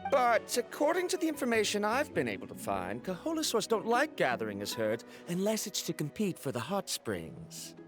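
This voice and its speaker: A man's voice: high voice, huge pitch swings, moderate pace, few pauses, over music. A man voicing an earnest, pedantic young male scholar in a video game, formal and skeptical. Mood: studious. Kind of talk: animated video game dialogue.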